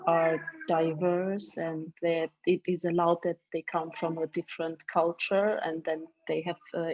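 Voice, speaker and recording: A woman speaking slowly and in a steady, flat tone on a video-call panel. She hesitates often, filling gaps with "uh". The audio sounds muffled and lo-fi. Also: fairly low pitch, a little hiss or hum. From Germany. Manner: hesitant, full of "uh" fillers. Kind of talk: panel discussion.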